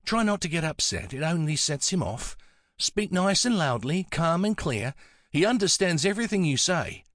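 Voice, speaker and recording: Man putting on a soft, high, kindly old lady. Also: big pitch swings, normal speed, no echo, studio quality. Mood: soothing, firm. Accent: Antipodean. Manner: fond, coaxing, chatty. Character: kindly, affectionate elderly woman, brisk war veteran.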